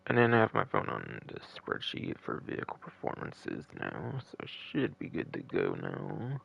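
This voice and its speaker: A man's voice: rumbling low, huge pitch swings, moderate pace, creaky, breathy edge, muffled recording. A man hosting a gaming live stream with casual, rambling, repetitive commentary and the odd swear word. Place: a room at home.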